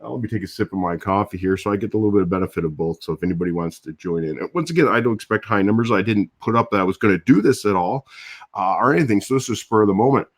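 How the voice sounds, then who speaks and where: An older man with a low voice, hosting a casual morning live stream and chatting in a rambling way, chuckling between thoughts. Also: expressive, rapid-fire. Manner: rambling, chuckles often, restarts. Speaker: YouTube host. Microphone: different audio device that lowers voice.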